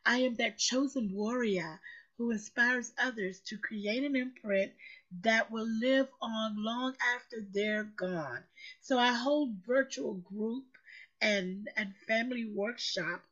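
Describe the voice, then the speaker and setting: Hoarse woman preaching slowly. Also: on the high side, a bit bright, muffled recording. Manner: preachy, emphatic, repeats phrases for effect. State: hoarse, recovering lost voice. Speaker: motivational show host. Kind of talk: slow motivational talk.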